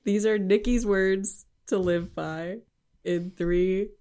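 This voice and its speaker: A woman's voice: medium pitch, fairly even intonation, takes it slowly, mellow. A woman from the Midwest co-hosting a podcast, chatting playfully and laughing often. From American Midwest. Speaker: podcast co-host. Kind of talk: casual chat.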